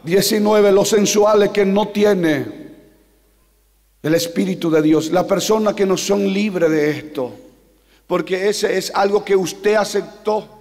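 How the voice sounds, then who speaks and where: Paraguayan pastor preaching in a hall. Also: male voice, sits high. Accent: Paraguayan Spanish. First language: Guarani. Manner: impassioned, rising to shouts, slows for emphasis. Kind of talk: sermon. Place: large church hall on a stage. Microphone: handheld mic. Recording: long reverb of a large hall.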